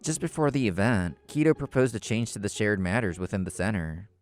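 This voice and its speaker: A man narrating a scripted story in the first person, in a measured, explanatory manner. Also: lowish voice, soft background music. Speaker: narrator and main character of a manga video.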